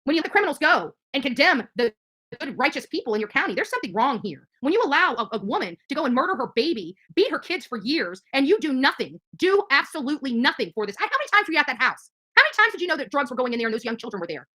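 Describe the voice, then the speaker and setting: A middle-aged woman talks fast and hot, her pitch swinging widely as she rants at the camera. Her voice sits on the high side and has a bright, forceful edge. She sounds indignant and confrontational and keeps hammering the same points. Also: very animated. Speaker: activist streamer. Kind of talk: angry direct-to-camera rant.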